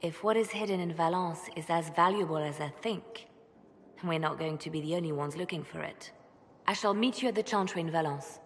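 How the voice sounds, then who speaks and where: Actress playing composed woman spymaster, game cutscene, lowish voice, deliberate delivery, long reverb, faint noise. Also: close to an everyday voice. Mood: businesslike. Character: composed, devout woman spymaster, guarded and pragmatic. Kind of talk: video game cutscene dialogue.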